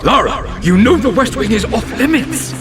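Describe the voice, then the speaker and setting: An actress playing a firm but fond grown-up who is scolding a child. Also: female voice, on the high side, expressive, moderate pace, background noise, lightly acted. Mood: stern.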